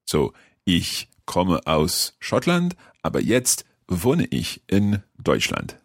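Deep husky man teaching. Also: wildly swooping pitch, no echo, clean studio sound. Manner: clear, patient, teacherly, repeats phrases slowly. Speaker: language course host. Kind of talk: scripted podcast lesson chat.

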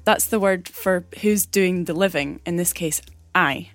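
A woman explains a grammar rule in a clear, patient way, as if reading a prepared lesson segment. The recording is dry and close, with faint music in the background. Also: fairly low pitch, unhurried, dry recording. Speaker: grammar teacher. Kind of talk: scripted explanation. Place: recorded separately from the studio.

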